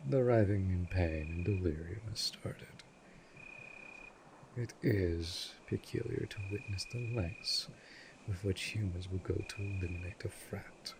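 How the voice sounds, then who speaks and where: A man performs an imperious, centuries-old vampire lord for a fantasy audio roleplay. His voice is low and creaky, with formal, disdainful phrasing and a cold, commanding temper. Clear night-time cricket ambience sits behind him. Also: background noise, exaggerated voice. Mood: scornful, aloof. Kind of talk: scripted audio roleplay drama.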